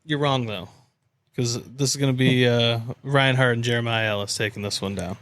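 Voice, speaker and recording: A man's voice: medium pitch, steady tone, normal speed, fairly bright tone, no echo. A man co-hosting a sports podcast, chatting casually and teasing the others. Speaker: podcast co-host.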